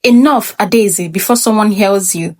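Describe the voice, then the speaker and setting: A woman acts out a fearful mother in a storybook tale. She is anxious and cautioning, with a hushing way of speaking. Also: mid-range voice, normal speed, natural voice. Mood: fearful warning.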